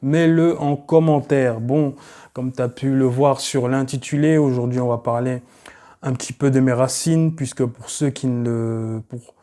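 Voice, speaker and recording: A man's voice: medium pitch, unhurried. A man in his late twenties, originally from Cameroon, chatting casually in a vlog with loose, rambling talk full of fillers. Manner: relaxed and rambling, many fillers like voilà and euh. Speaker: YouTuber.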